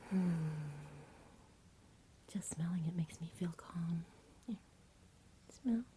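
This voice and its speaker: A woman speaking in a soft, soothing whisper in an ASMR bedtime roleplay, gentle and unhurried as if talking to a child. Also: fairly low pitch, slight background noise. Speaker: ASMR performer playing a caregiver at bedtime.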